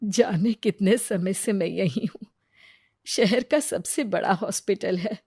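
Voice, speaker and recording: A woman's voice: mid-range voice, slow, dark tone, husky, dry recording, clean studio sound. A woman voicing a worried, poor female patient who frets anxiously in a story.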